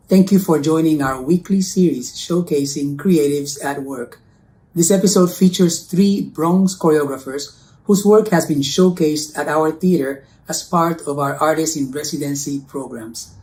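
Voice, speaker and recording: A man's voice: on the high side, darkish tone. A man giving a formal, scripted introduction as host of an arts series.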